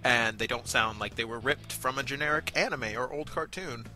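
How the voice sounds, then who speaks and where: A man co-hosting a casual review show, giving measured, agreeable commentary with some hesitation. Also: mid-range voice, sharp tone, soft background music. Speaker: co-host of an amateur review show.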